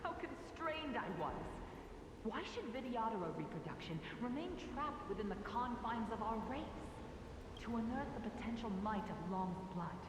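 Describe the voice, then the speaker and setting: A woman acts out a scheming female dragon-folk scholar for a game, high and sweepingly expressive. She speaks with formal, zealous grandeur, her words ringing from afar in a cavernous echo over noise. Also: moderate pace, close to an everyday voice. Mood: exalted, obsessive. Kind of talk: dramatic video game dialogue. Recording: cavernous long echo, clear noise, distant.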